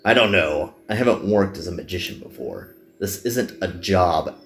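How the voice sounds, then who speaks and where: A man's voice: low voice, animated, normal speed, fairly bright tone, faint music behind. A man reading a reluctant, self-deprecating young male magician recruit from a visual novel, dry and downbeat. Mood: doubtful.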